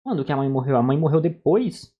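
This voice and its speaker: A man's voice: a little high, animated, fast, phone-quality sound. A man with a Brazilian accent reacting casually, in an informal, slangy way, often repeating himself. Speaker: reaction streamer. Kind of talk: casual live reaction chat.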